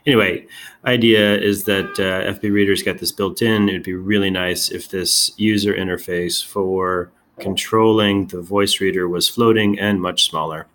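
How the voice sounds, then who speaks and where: A man giving a casual software demonstration as a tech video host, halting and thinking aloud as he goes. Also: low-pitched, fairly even intonation.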